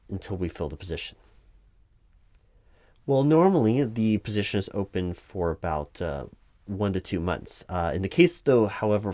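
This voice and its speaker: A man acts out a distracted corporate recruiter with a deep voice, recorded very dry. He talks loudly and officiously in a comedic audio roleplay, hesitating and rambling through company policy-speak like a busy manager. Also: no echo. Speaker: hiring manager conducting a job interview.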